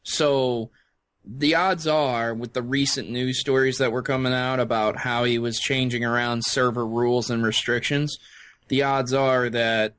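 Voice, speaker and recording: A man's voice: medium pitch, fairly even intonation, unhurried, fairly bright tone, smooth, no echo. A man chatting casually as a podcast guest, joking in an irreverent, sweary way.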